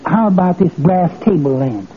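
An actor playing a meek, henpecked little husband in a mild, formal, deadpan way in old-time radio comedy. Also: male voice, sits high, moderate pace. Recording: tinny vintage radio, noisy, audience behind.

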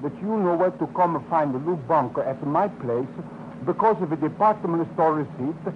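A man voicing an elderly Italian immigrant dad with broken English and an Italian accent, fairly high voice, 1950s radio drama. The old recording sounds muffled, with a loud hiss behind it. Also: moderate pace, natural voice. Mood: grateful. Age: older. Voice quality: broken English, excitable then soft. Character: grateful old male Italian immigrant father.

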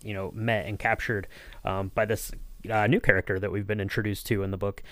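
Clear, dry-sounding male podcast host. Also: on the low side, flat delivery, a little fast, fairly bright tone, no room sound. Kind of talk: casual discussion, recapping a story.

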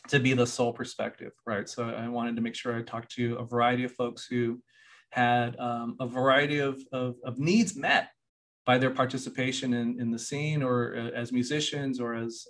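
A man's voice: medium pitch, flat delivery, moderate pace, fairly bright tone. A man from California's central coast, a university professor and author, talking in a casual podcast conversation with many fillers and restarts. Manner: rambling, many fillers and restarts, laughs now and then.

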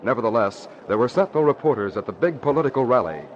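A man's voice: mid-range voice, animated, quick pace. A man announcing in emphatic, dramatic 1940s radio style, reading scripted narration and ads. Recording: muffled old radio, faint hiss.

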